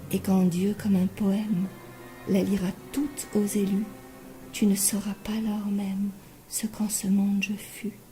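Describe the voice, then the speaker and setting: A woman reciting poetry expressively in a breathy voice that often drops near a whisper, with deliberate dramatic phrasing. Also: medium pitch, dark tone. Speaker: poetry reader. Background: music.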